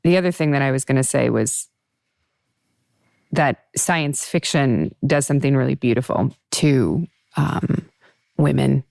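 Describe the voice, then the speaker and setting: A woman's voice: very deep voice, a little slow, vocal fry, darkish tone, no echo, clean studio sound. A woman hosting a casual talk show, chatty and emphatic, thinking aloud as she talks. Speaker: podcast host. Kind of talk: casual interview chat.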